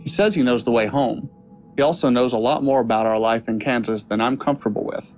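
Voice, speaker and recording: An actor playing a wry young stage magician in an audio drama, talking in a sarcastic, conversational way. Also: male voice, mid-range voice, fast, a little mellow, soft background music, natural voice. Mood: uneasy, dismissive.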